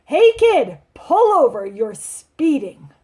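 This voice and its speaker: A woman voicing a stern, bossy male police officer who yells commands, in a storybook reading. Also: high-pitched, very animated, slow, pitched above the performer's own voice, heavily acted. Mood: stern, yelling. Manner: yelling, commanding.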